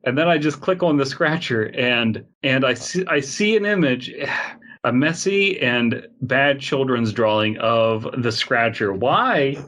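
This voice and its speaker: A man's voice: mid-range voice, lively intonation, a little slow, a bit bright. Joking, rambling male podcast co-host. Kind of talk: casual comedic banter, reading and reacting to internet horror fiction.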